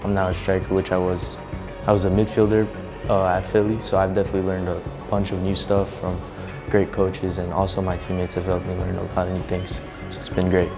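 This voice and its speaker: A teenage male soccer player giving earnest interview answers with filler pauses. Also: deep voice, monotone, music in the background. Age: teens.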